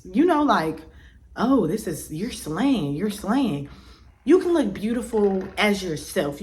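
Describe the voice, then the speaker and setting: A woman's voice: fairly low pitch, fairly bright tone, a little hiss or hum. Chatty young woman vlogging her opinions. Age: 20s. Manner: chatty, lots of fillers and restarts. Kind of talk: casual opinion vlog.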